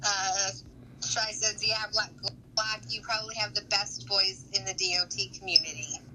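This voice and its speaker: A woman's voice: mid-range voice, level tone, normal speed, bright, forceful tone, a little hiss or hum, poor audio. A woman chatting casually as a co-host on a gaming stream, in a playful, teasing way. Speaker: stream co-host reading chat comments.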